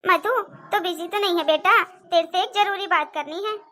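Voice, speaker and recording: A woman's voice: extremely high pitch, moderate pace, pushed and bright. A woman voicing a pleading, scheming older mother-in-law in a cartoon family drama. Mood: confiding. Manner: pleading, lamenting, persuasive.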